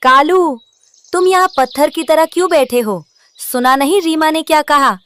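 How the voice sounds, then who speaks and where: A woman's voice: high voice, moderate pace, a bit bright, no echo. A woman voicing a bossy but affectionate female crow in a cartoon story. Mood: scolding.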